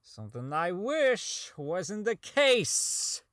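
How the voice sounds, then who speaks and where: A man acts out a socially inept male robotics engineer coming apart in an audio drama. His voice sits high and has a bright, tense edge, captured very dry. He rambles bitterly through his logs, stammering, swearing and prone to outbursts. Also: very animated, very slow, dry recording, heavily acted. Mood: conflicted. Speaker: voice actor in a fan-made audio drama. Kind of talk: dramatic monologue as a recorded log.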